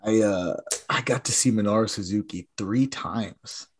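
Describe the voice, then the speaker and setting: A man co-hosting a podcast in casual chat, his voice raspy from a weekend of yelling, rambling and trailing off into mumbles. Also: on the low side, unhurried, a little hiss or hum. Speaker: podcast co-host.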